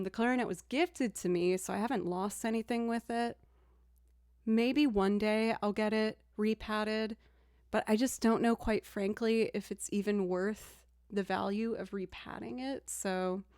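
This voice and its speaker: A young woman with a low alto voice, chatting casually on a live stream and thanking viewers as she talks. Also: darkish tone, dry recording, studio quality. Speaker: streamer and musician.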